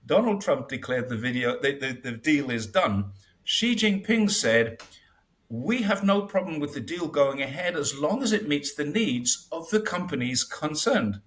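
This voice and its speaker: Animated man talking politics. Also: on the high side, measured pace. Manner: animated, wry, acts out quotes with laughs. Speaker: interview guest. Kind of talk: casual conversational commentary.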